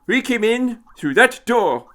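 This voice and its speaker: A man voicing an elderly, eccentric time traveller, haughty and impish, with a high, tremulous, querulous voice and a fussy manner. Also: sing-song, slow, sharp tone, exaggerated voice. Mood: indignant, dismissive. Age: older. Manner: haughty, fussy, hesitant turns of phrase.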